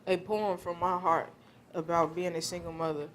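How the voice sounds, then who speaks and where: A woman's voice: fairly low pitch, level tone, normal speed, a little hiss or hum. A young woman reciting a poem aloud at a student readers theater performance. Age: young adult.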